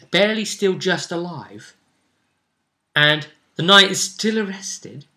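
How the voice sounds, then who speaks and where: Bright-voiced man, casual game master. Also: high-pitched, lively intonation, slow pace. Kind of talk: casual recap of a tabletop game story.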